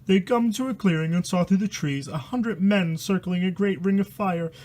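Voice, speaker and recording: A man with a high-pitched voice reads a storybook aloud. His delivery is theatrical and mock-horrified, moving between narration and loose, crude ad-libbed commentary. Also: moderate pace, darkish tone. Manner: dramatic, mock-horrified, crude swearing asides. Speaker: storyteller reading a book aloud. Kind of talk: storybook reading with casual ad-lib commentary.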